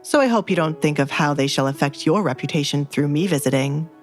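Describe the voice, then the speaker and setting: Woman voicing a curt, cold, low-pitched haughty duke. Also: brisk, soft background music, natural voice. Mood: disdainful. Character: cold, composed duke, curt and disdainful man. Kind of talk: audio drama storytelling.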